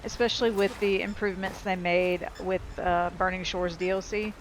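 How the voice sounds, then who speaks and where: A woman's voice: on the low side, steady tone, normal speed, slight background noise, rough recording. A woman giving casual, informal and rambling gameplay commentary as she explains how a game works. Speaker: game streamer. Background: game music and sound effects.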